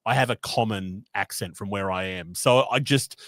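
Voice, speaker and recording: A man's voice: on the low side, normal speed, fairly bright tone, no room sound. Guest, male, broad Western Sydney Australian accent, chatty, dry close sound. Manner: chatty, explains at length with fillers. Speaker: guest, author and radio host. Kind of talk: casual video-call interview. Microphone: video call.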